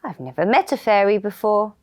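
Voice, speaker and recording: A woman voicing a gentle, lonely male shark in a children's puppet show, soft and shy, speaking in simple, earnest phrases. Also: mid-range voice, big pitch swings, fairly quick, lightly acted. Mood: wistful, lonely.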